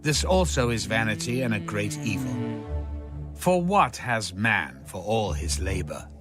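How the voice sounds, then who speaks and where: Music plays behind a man reading scripture as a solemn narrator. His voice sits fairly low and moves expressively up and down in a measured, formal cadence. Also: very animated. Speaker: audio Bible narrator.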